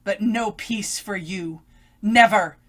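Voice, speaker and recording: A woman's voice: medium pitch, slow pace. A woman playing an evil, taunting alter ego, a voice inside a condemned girl's head, in old-time radio drama style. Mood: vengeful fury. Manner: menacing, taunting, gloating.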